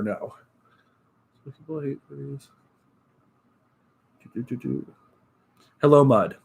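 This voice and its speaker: A young man with a nasal voice, chatting casually on a live stream, upbeat and relaxed, full of fillers. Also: fairly high pitch, breathy edge. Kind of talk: casual live-stream chat reading comments.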